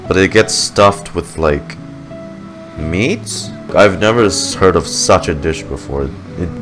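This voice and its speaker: A man's voice: deep voice, slow pace. A man giving casual let's-play commentary, laid-back and rambling, as a gaming reaction streamer. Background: game music.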